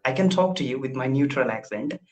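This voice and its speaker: A man's voice: mid-range voice, animated, a little fast, darkish tone. A young man with an Indian accent, practicing casual English conversation on a video call, often hesitating and repeating words as he starts sentences. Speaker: English learner.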